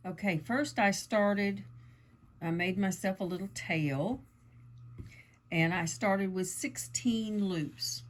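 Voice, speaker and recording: A woman, a little croaky from allergies, chatting her way through a casual yarn craft tutorial with lots of ums and asides. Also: fairly low pitch. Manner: chatty, meandering, lots of fillers. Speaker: crafting channel host.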